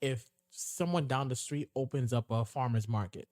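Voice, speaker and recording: A man's voice: medium pitch, a little mellow, no echo. A young man co-hosting a podcast, laying out his examples step by step in casual discussion. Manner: measured, lays out examples step by step. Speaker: podcast co-host.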